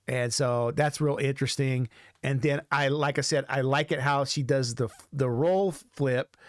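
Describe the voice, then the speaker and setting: A man hosting a music reaction show, talking off the cuff in a halting, rambling way and often repeating himself. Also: mid-range voice, no echo, clean studio sound. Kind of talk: casual off-the-cuff reaction commentary.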